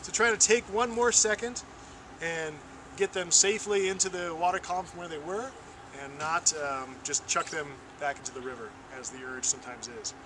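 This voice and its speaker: A young American man giving an explanatory training talk in a halting way, as an instructor in the field. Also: on the high side. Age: young adult. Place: outdoors on a wooded riverbank. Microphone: camera mic a few steps away. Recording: distant camera mic, noisy outdoor ambience.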